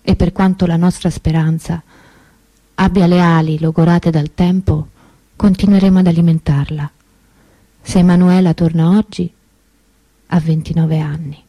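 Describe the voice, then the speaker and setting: An actress playing an anguished, stubbornly hopeful grieving sister in a theatrical radio monologue, intense and emotive with dramatic pauses. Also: female voice, fairly low pitch, fairly even intonation, mellow, no room sound, poor audio. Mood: sorrowful, hopeful.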